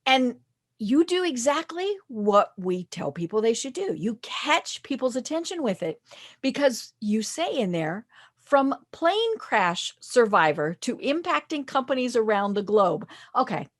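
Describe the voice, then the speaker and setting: Brief woman host, fairly high voice, very animated pitch, chatty and attentive. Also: a little slow. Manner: attentive, frequent affirmations. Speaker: podcast host. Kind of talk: casual interview talk.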